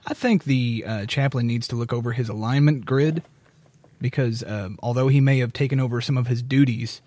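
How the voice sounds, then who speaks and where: A man with a medium-pitched voice joins a casual podcast conversation. The sound is dry, with very little room in it, and his delivery is conversational banter. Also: normal speed, darkish tone, no echo. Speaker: podcast participant.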